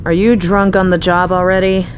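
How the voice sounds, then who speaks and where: A woman playing a young female mafia boss in an audio roleplay, possessive and menacing yet doting, speaking deliberately. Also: lowish voice, level tone, music in the background, close to an everyday voice. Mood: wry, doting. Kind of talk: scripted audio roleplay acting.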